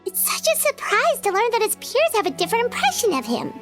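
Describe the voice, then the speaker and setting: A little floating female sidekick, chattering in a very high, squeaky voice. She sounds excitable and full of opinions. Clear game music plays under her. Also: fast. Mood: chatty. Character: tiny chatty floating female companion creature. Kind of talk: video game voice acting.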